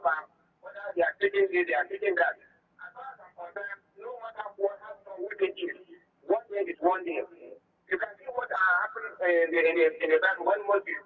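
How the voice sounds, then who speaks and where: High-pitched Nigerian caller, tinny phone line. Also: male voice. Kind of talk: halting phone-in opinion. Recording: thin phone line, distant.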